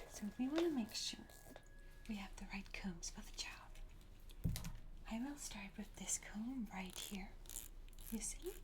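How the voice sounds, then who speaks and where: A woman whispers slowly in an ASMR roleplay as a gentle pet stylist. She soothes and encourages her client in a hushed, unhurried way, close on the microphone. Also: fairly high pitch.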